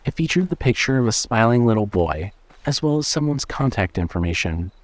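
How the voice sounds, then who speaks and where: A man's voice: medium pitch, very animated, a little fast, no echo. A man reading scripted suspense fiction as a narrator.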